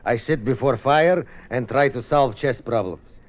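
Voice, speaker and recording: A man's voice: mid-range voice, a little slow, close to an everyday voice. Courteous Russian film director played by a man. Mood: cordial. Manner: courteous, terse. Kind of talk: 1950s radio drama acting. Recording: dull 1950s radio recording.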